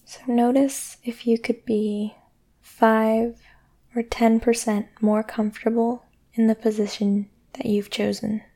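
A woman guiding a sleep meditation in a soothing, gentle way, speaking deliberately with long pauses. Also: fairly high pitch, flat delivery. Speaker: meditation guide and podcast host. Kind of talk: guided sleep meditation, yoga nidra.

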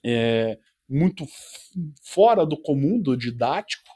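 Man, 40s, founder guest, mellow warm tone, easygoing storyteller. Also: mid-range voice, slow pace. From Brazil. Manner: relaxed storytelling with self-deprecating jokes. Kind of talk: podcast interview.

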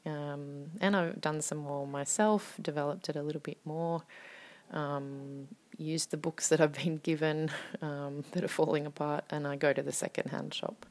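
A woman poet speaking as a podcast guest, hesitant and full of ums, her words often trailing off softly. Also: low-pitched, fairly even intonation, moderate pace. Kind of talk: casual interview talk.

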